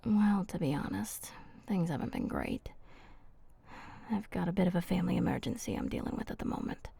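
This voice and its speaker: A woman voicing a flirty, teasing female vampire in an ASMR roleplay, soft and husky, speaking intimately and haltingly. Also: lowish voice, sing-song, heavily acted. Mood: guarded, earnest.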